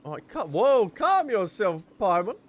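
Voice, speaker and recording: A man's voice: high voice, big pitch swings, measured pace, over music. A man giving casual, playful gameplay commentary, joking, repeating phrases and bantering with the characters. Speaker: gaming content creator, host.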